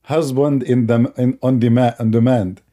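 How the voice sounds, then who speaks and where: Guy chatting loosely, lots of "you know"s, warm mellow tone. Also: medium pitch, fairly even intonation, normal speed. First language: Arabic. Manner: loose, talky storytelling with non-native grammar and frequent fillers. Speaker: commentator. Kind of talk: casual commentary while showing a video on screen.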